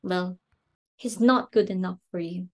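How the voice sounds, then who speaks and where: A woman's voice: on the low side, a little slow. A woman playing a flustered, snobbish society hostess and mother in an amateur dramatic reading, exclamatory and imperious. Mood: bitter, indignant.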